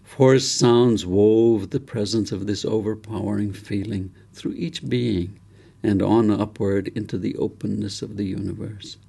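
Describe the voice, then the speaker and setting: A man reading his own novel aloud as literary narration, solemn and reverent, with deliberate phrasing and dramatic pauses. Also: on the low side, fairly even intonation, creaky, warm tone, slightly rough.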